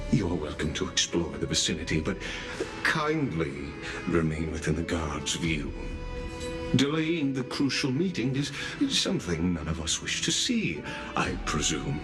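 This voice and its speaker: An actor playing a suave, courteously menacing official in a video game, formal and theatrical, with drawn-out pauses. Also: male voice, low voice, very animated, over music. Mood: courteous, veiled menace.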